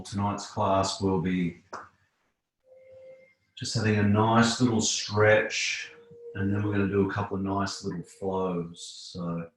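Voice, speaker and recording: Guy leading a yoga class, low voice, slow soothing phrases, super echoey room. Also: slight background noise. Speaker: yoga instructor. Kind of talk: guided yoga relaxation instruction.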